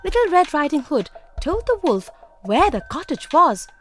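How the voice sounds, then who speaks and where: High-voiced, animated woman storyteller. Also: moderate pace. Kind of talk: scripted children's fairy-tale narration. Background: music.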